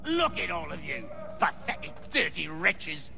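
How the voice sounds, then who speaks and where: An actor playing a self-righteous, contemptuous prison warden who sermonises pompously in a video game. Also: male voice, on the high side, sing-song, unhurried, gravelly, sharp tone, heavily acted. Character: scornful, self-righteous male prison warden haranguing inmates.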